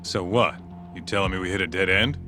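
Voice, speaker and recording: A man's voice: sits low, fairly even intonation, brisk, over music, natural voice. A man playing a streetwise, casual fixer in a video game cutscene, blunt, slangy and offhand. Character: streetwise, casual male fixer, a savvy associate.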